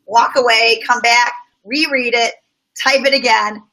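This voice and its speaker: Middle-aged woman, bright tone, fairly high voice, thoughtful, personal examples, relaxed chat. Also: a little slow, low-quality recording. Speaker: guest speaker on business. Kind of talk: casual conversational interview.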